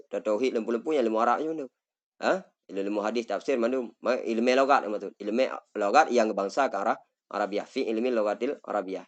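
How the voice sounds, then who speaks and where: A middle-aged man with an Acehnese accent, a religious teacher who reads Arabic phrases slowly and then explains them in a study lesson. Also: medium pitch.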